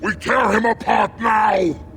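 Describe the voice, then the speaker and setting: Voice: mid-range voice, fairly even intonation, slow, shouting, music in the background, close to an everyday voice. An actor voicing a bloodthirsty, furious werewolf beast in a fantasy video game, growling and roaring. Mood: murderous fury.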